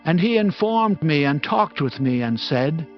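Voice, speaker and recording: A man's voice: on the high side, animated, measured pace. An actor playing a devout, humble prophet in a dramatized scripture reading, earnest and reverent as he declaims. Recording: slightly dull sound, music underneath.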